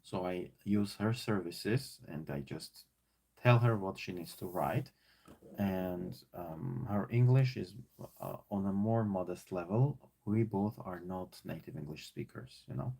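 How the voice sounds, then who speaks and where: A man with an Armenian accent talks through a leadership workbook, musing aloud with plenty of fillers and restarts. His voice sits on the low side and carries a gravelly, slightly husky grain. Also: unhurried, a little mellow. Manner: thinking aloud, many fillers and repeats. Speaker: teacher working through a leadership book. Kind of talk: casual self-assessment talk.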